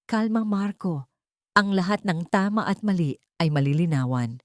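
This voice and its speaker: A woman voicing a serious male police officer in an audio story, calm, firm and official. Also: fairly low pitch, normal speed, no room sound, clean studio sound, natural voice. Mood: calm, determined.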